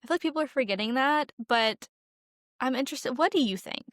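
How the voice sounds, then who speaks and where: A woman's voice: sits high, expressive, fairly quick, fairly bright tone, no echo, clean studio sound. A woman co-hosting a casual podcast, chatty and sprinkling "like" through her sentences. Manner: chatty, heavy use of "like". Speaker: podcast co-host.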